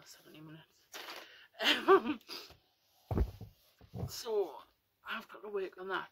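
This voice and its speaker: A young woman with a nasal, breathy voice, chatting casually and rambling at home while getting ready for bed. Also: a bit bright, slight background noise, rough recording. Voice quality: nasal, breathy, high-pitched voice. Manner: chatty, rambling, with many fillers. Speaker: vlogger. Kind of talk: casual chat to camera.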